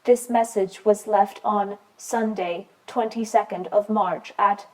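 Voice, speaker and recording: Answering-machine voice female performer, radio play. Very slow, formal, impersonal, on a noisy, clicking recording. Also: medium pitch, steady tone, close to an everyday voice. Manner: measured, formal announcing. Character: impersonal answering machine announcing message times. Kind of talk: scripted voicemail announcement.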